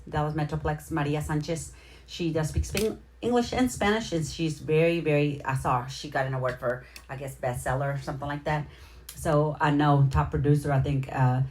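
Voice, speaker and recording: Low-voiced middle-aged woman, chatty livestream host. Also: a little mellow. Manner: chatty, informal, slangy, addresses viewers directly. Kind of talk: casual livestream chat with shout-outs to viewers.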